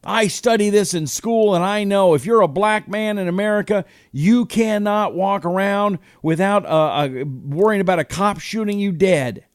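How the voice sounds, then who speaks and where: A man's voice: sits high, normal speed, few pauses, dry recording, studio quality, pitched above the performer's own voice, lightly acted. A man retelling a conversation as a heated, preachy young Romanian man, insistent and accusatory. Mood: indignant, insistent.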